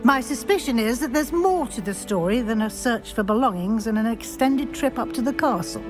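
A stern, brisk professor, crisp and businesslike, played by an actress, her fairly high voice riding over clear orchestral music in a game cutscene. She keeps things moving with clipped, no-nonsense authority. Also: expressive, close to an everyday voice. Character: brisk older female professor, stern and proper.

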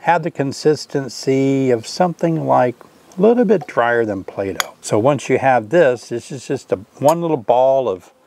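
A man's voice: medium pitch, animated, normal speed, creaky, a little mellow, a little hiss or hum. An older man teaching beekeeping in a casual vlog, chatty and often asking viewers questions, recorded outdoors by a hive. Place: outdoors by a hive, then a covered porch.

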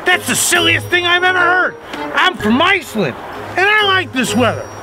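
Guy doing a goofy giggly snow lover, high bouncy voice, slow, music behind. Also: sing-song. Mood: boastful. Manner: silly, boastful, giggly. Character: goofy snow-loving man in a shaggy wig. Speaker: comic character. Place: snowy outdoor playground.

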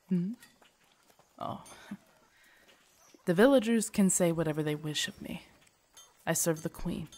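A woman playing a loyal, protective female knight in an audio drama, formal, deferential and earnest, with a husky voice. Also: low-pitched, darkish tone. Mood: dutiful, gentle. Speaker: voice actor in audio roleplay. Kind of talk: acted immersive audio drama monologue.

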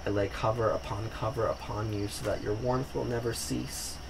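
A young man voicing Death as a dark male stranger, cold and stern, pronouncing his words flatly. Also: lowish voice, moderate pace, creaky, noisy background.

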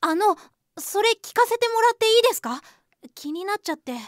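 An actress playing a modest, polite junior colleague who is deferential and curious, in a voice drama. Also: female voice, very high voice, expressive, a little fast, dry recording, studio quality. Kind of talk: voice drama acting.

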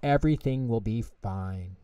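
A man voicing a kind, calm big male bear in a children's storybook, gently reassuring and soothing. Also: on the low side, a little slow, clear, resonant tone, dry recording, far from the mic, dull audio, lightly acted. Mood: kindly, reassuring.

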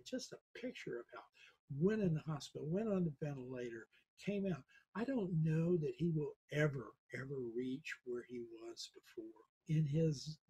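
A man's voice: fairly high pitch, dark tone, breathy edge, poor audio. A man in his seventies, talking to camera from his living room, earnestly urging and lecturing his listeners, repeating points for weight. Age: 70s. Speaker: podcast host. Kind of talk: earnest direct-to-camera appeal. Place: home living room, indoors.

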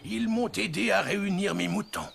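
An actor playing a weary, gentle old sheepdog in a cartoon, with a gravelly, plaintive voice. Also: male voice, high-pitched, normal speed, a crowd in the background. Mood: worried, pleading. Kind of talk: animated cartoon dub.

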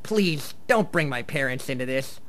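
A man's voice: mid-range voice, expressive, moderate pace, fairly bright tone, a little hiss or hum, lightly acted. Guy doing a nerdy teen boy gamer, earnest, hesitant, kinda defensive, radio play. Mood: evasive. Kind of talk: live radio play acting.

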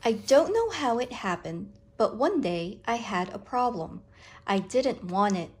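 A woman reading a picture book aloud to children, with expressive, deliberate, dramatic phrasing. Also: on the high side, slightly rough.

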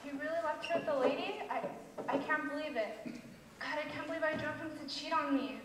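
An insecure, upset young girlfriend in a stage play, acted by a teenage girl, with a highish voice. She is heard from far off in an echoey, noisy auditorium. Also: brisk, a bit bright. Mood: distressed. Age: teens. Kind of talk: high school stage play acting.

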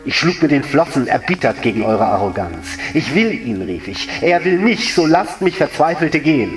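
A man's voice: a little high, very animated, moderate pace, slight background noise. A man voicing an anguished, lovelorn female water spirit in a solemn, lyrical dramatic monologue. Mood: bitter, desperate. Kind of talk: literary dramatic monologue recitation. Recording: echoey room, distant mic, music underneath. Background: music.

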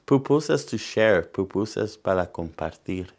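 Salvadoran guy in his 40s doing kids storytime, kinda low voice, lots of gravel, takes his time. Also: animated, normal speed, darkish tone. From San Salvador, El Salvador. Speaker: storytime host. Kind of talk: bilingual read-aloud of a children's picture book. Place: indoor room in front of wooden backdrop.

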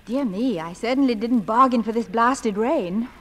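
An actress playing a proper, spirited widowed mother and author, with a British accent and crisp, prim delivery in period radio drama style. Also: a little high, normal speed, fairly bright tone. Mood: indignant. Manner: prim, crisp, indignant turns of phrase.

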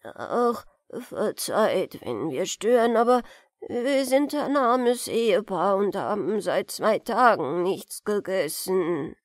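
A woman voicing a humble old beggar in a fairy-tale audiobook, hesitant and deferential, with drawn-out words. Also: medium pitch, lively intonation, no room sound. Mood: pleading.

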